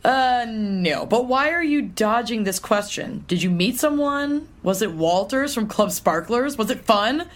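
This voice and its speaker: A man's voice: extremely high pitch, expressive, a bit bright, pitched above the performer's own voice, exaggerated voice. A man voicing a teasing, nosy adult woman who fires off prying questions. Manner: prying, rapid-fire questions.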